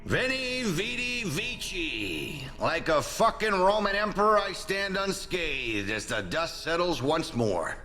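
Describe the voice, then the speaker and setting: Pompous, swaggering American politician, wildly animated. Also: male voice, sits high, takes it slowly, few pauses, soft background music, heavily acted. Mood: triumphant, boastful. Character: brash, vain, foul-mouthed corrupt American politician. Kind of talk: video game character acting. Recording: long reverb.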